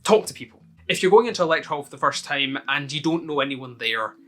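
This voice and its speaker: Bright-voiced young Scottish man. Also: fairly high pitch, expressive, fast, faint music behind. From Scotland. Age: young adult. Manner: friendly, explanatory, answers questions one by one. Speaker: student video host. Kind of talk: casual advice talk to camera.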